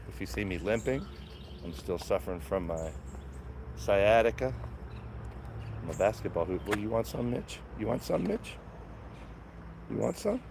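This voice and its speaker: A man with a raspy morning voice hosting a casual live stream from his backyard garden, chatting and greeting viewers by name. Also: fairly low pitch, a little hiss or hum. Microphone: small phone-mounted microphone.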